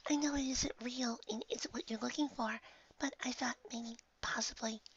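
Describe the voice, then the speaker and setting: A man voicing a timid, tender young female pegasus pony in a soft, breathy, hesitant falsetto. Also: fairly even intonation, moderate pace, muffled recording, heavily acted. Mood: shy, hesitant. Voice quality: breathy, near-whispering falsetto.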